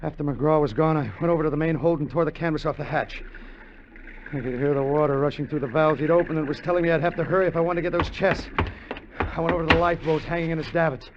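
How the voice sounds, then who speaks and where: Actor as a scheming sailor in muffled old radio drama. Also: male voice, on the high side, fairly even intonation, quick pace, noisy background, natural voice. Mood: haunted. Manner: hard-boiled, intense narrating of his own scheme. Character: greedy, scheming sailor turning frantic and crazed. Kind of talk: 1950s radio drama acting and first-person narration. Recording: muffled vintage radio recording with music.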